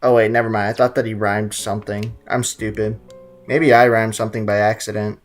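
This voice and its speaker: A man's voice: lowish voice, level tone, fairly quick. A young man casually joking and rambling through a video game playthrough. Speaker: gaming YouTuber. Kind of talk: casual let's-play commentary. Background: game music.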